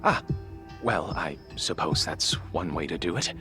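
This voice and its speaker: Deep-voiced, bookish polite assistant. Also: male voice, expressive, measured pace, music in the background. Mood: polite, earnest. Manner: formal, precise wording. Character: polite, studious male assistant investigator, careful and bookish. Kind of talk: dramatic game cutscene dubbing.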